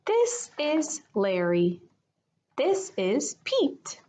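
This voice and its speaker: A woman reads a picture book aloud for young children. Her voice sits fairly high and swings widely in pitch, with an unhurried, expressive teacherly delivery. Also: slight background noise. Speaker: kindergarten teacher reading aloud.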